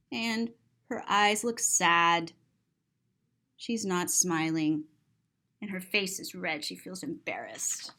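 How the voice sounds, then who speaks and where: A woman's voice: on the high side, a bit bright. A woman reading a picture book aloud to young children, describing it in simple, repeated words with lots of expression. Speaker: storyteller, teacher.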